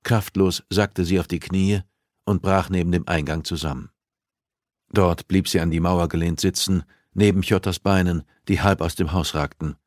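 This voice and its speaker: A man's voice: deep voice, fairly even intonation, normal speed, a little mellow, dry recording, clean studio sound. A man reading a thriller novel aloud as scripted audiobook narration.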